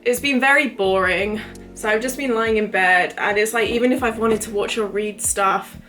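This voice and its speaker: A woman in her twenties vlogging casually to camera, chatty and rambling, her voice a little croaky from illness. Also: mid-range voice, moderate pace, fairly bright tone, faint music behind. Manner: chatty, rambling, lots of fillers. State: recovering from illness, voice a bit croaky. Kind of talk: casual talking-to-camera vlog.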